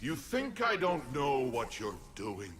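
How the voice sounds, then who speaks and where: Menacing, gloating dark emperor, noisy background. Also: male voice, mid-range voice, wildly swooping pitch. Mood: gloating menace. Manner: slow, taunting, menacing. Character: possessed pawn voicing a menacing, gloating immortal Sith Emperor. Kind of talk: video game cutscene acting. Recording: loud noise behind the voice.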